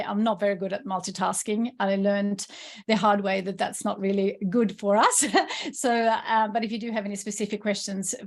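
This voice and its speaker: Woman, native Swedish speaker, Swedish accent, mid-range pitch, enthusiastic, chatty, informal workshop presenter. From Sweden. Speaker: workshop presenter, entrepreneur and coach. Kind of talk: informal online workshop talk.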